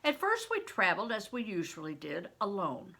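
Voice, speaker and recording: An older woman reading a children's novel aloud as expressive storytelling narration. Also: mid-range voice, normal speed.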